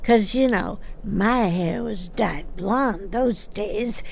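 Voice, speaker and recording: An older woman playing a chatty, nosy widowed seamstress in her sixties in an audio drama, with a folksy, rambling, halting delivery. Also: medium pitch, creaky, a little mellow, slight background noise. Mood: wry storytelling. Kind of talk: naturalistic audio drama acting.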